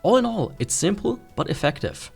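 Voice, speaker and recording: A man's voice: sits high, sing-song, a little fast, vocal fry, darkish tone, breathy edge, faint music behind. A man gives a scripted tech review in an explanatory, plain-spoken way.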